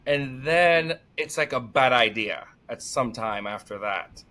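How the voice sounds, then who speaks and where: A man with a Jamaican accent, a guest telling lively stories in conversation and laughing often. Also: medium pitch, a little slow. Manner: energetic, animated, laughs often. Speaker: podcast guest. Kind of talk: storytelling conversation.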